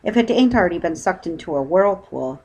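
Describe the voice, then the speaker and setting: A woman's voice: lowish voice, lively intonation, brisk, dark tone, breathy edge, dull audio. A woman voicing an unflappable working-class boatman from a storybook, colloquial, dry and matter-of-fact.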